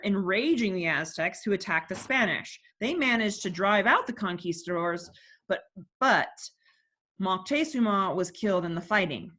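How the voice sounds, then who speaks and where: A woman's voice: medium pitch, a bit bright. A woman teacher reading a textbook section aloud and stopping to explain terms and put questions to her class.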